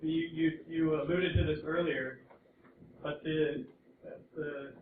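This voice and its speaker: A man's voice: a little high, steady tone, takes it slowly, background noise. A man in a lecture audience asking a question, stumbling and repeating words. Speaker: audience member. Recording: echoey hall, far mic, phone-like.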